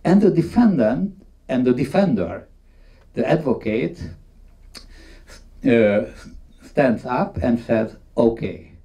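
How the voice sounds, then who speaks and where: Slow, distant man giving a talk. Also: mid-range voice, animated, warm tone. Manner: halting, emphatic, non-native English phrasing. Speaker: conference speaker on film history. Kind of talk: unscripted talk retelling film scenes.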